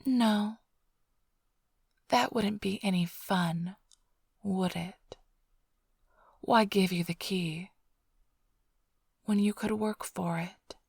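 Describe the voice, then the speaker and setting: A woman playing a flirtatious, smug vampire thief in an intimate ASMR roleplay, teasing and sly in a breathy, often whispered voice. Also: mid-range voice, extremely slow, long pauses, darkish tone, dry recording, studio quality, full character voice. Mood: mocking, playful. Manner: teasing, purring, theatrically sly. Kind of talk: ASMR roleplay, intimate close address to listener.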